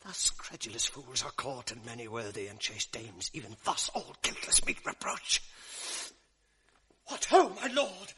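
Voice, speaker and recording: A man's voice: mid-range voice, very animated, a little slow, background noise. An actor playing a cunning, mocking male ensign who whispers sly asides, in a 1980s radio drama. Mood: gloating. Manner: sly, taunting, confiding asides.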